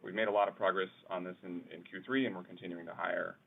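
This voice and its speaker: Man, engineer on business call, husky, creaky, explanatory, narrow phone sound. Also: low voice, steady tone, quick pace. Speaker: engineering manager answering questions. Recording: muffled narrow phone-line audio.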